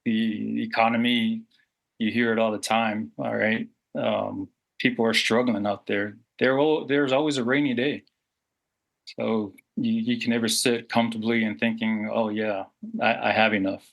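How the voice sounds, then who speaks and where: A low-pitched man in his forties, born and raised in Samoa, speaking in a candid interview. He talks earnestly and reflectively, circling back with fillers and restarts as he thinks aloud. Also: flat delivery, moderate pace, creaky. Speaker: guest.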